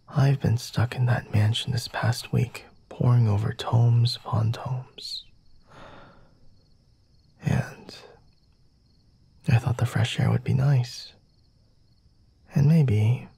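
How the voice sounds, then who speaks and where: Casting: male actor as coy, aristocratic vampire master for ASMR roleplay. Husky half-whisper, gravelly fry, unhurried and formal. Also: mid-range voice, dark tone, heavily acted. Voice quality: breathy, husky, often whispering. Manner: formal, teasing, measured and deliberate. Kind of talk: ASMR roleplay, addressing the listener.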